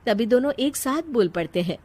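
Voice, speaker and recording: A woman's voice: on the high side, lively intonation, brisk, warm tone. A woman narrating a scripted family story as a dramatic storyteller.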